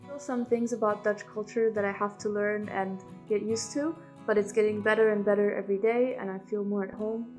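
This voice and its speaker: A woman's voice: medium pitch, fairly even intonation, fairly quick, hardly any pauses, darkish tone, soft background music. A young woman from Italy, a native speaker of Italian and German, reflecting in a documentary interview as an international student. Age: young adult.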